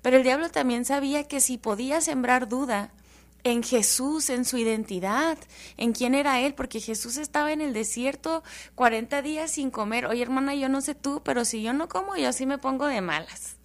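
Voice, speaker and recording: High-voiced woman from El Paso, animated. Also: normal speed. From El Paso, Texas. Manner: animated, joking, warm testimony. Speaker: guest.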